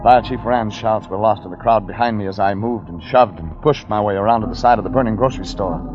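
An actor playing a hard-boiled private detective in a vintage radio drama, narrating in a dry, terse manner. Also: male voice, lowish voice, quick pace, natural voice. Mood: hard-boiled narration. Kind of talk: 1940s radio acting. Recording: muffled old radio broadcast with music.

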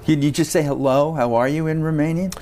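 A man's voice: fairly high pitch, steady tone, fast talker, mellow, slight background noise. A man interviewing a guest, asking short, probing questions. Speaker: interviewer, film critic. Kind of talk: podcast interview.

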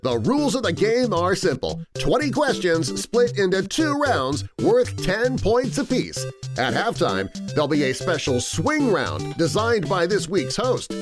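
A man reading the rules of a quiz game in a measured, scripted announcer style. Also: high-pitched, wildly swooping pitch. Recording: voice over loud music. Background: music.

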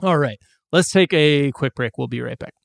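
A man's voice: on the high side, gravelly. A man in his forties co-hosting a podcast, chatting casually with a loose, profane, joking manner. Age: 40s. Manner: loose, profane, quick to joke. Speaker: podcast co-host. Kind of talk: casual comedic chat.

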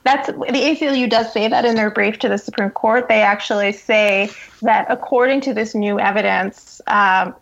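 A woman's voice: mid-range voice, normal speed, gravelly. A woman giving articulate, emphatic explanatory commentary as an interview guest, on a dull, lo-fi recording. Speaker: journalist guest. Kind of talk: interview commentary on law and politics.

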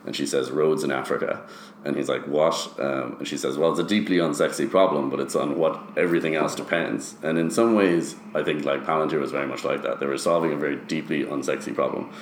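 Very deep-voiced man in a roomy space. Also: fairly even intonation, fairly quick. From Ireland, later years in the US. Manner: explanatory, often checks with "right?". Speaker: interview guest, startup founder. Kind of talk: conversational interview answers. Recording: roomy echo, faint background noise.

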